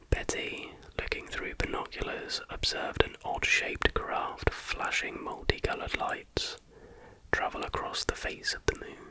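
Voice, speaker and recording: A man reading a factual account aloud as an ASMR host, entirely in a soft, unhurried, unvoiced whisper. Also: poor audio.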